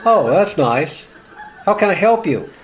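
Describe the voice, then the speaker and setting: A man's voice: sits high, big pitch swings, a little slow, a little hiss or hum, rough recording. A man playing a forgetful, genial law-firm boss in a radio sitcom, hesitating and repeating himself. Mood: befuddled, genial. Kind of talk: sitcom-style radio play dialogue.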